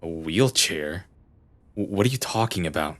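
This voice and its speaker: A man playing an aloof, eccentric young detective in an anime dub, with a deadpan, theatrical delivery and a weary air. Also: deep voice, moderate pace. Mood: curt, dry.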